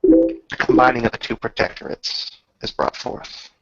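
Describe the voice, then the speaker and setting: A man acting as game master, telling a tabletop roleplaying story in a measured, grandiose manner with drawn-out pauses. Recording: slightly dull, roomy home recording.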